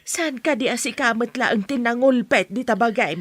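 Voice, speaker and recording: A scolding, exasperated woman played by an actress in a radio drama. Her voice is husky and somewhat high, nagging away in a dry studio. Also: moderate pace, no echo, close to an everyday voice.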